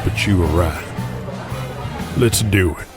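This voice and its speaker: A man playing a gruff, grim older wrestling boss with a folksy manner in an audio drama. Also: low voice, slow pace, over loud music. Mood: grudging resolve. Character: gruff old wrestling boss, grim poker-faced uncle.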